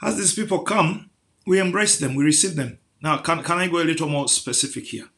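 A man preaching to his congregation with a gravelly, slightly raised voice. He works in strings of rhetorical questions and presses hard on key lines. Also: fairly high pitch, a little fast, a bit bright. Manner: rhetorical questions, rising to emphatic peaks. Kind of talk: sermon.

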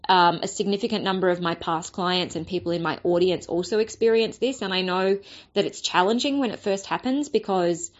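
A woman with an Australian accent, hoarse and raspy from a cold with a blocked nose, hosting a solo podcast episode in an earnest way, often repeating words as she finds her thought. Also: fairly low pitch, brisk, muffled recording. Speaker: podcast host and spiritual business coach.

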